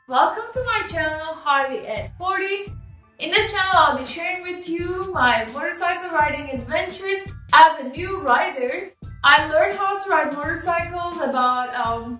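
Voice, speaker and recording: High-voiced Turkish-accented woman in her forties. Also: sharp tone, echoey room, recorded from a distance. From Turkey. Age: 40s. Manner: upbeat and chatty, addresses viewers as folks. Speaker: vlogger. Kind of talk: casual vlog narration. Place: room in a home with a motorcycle.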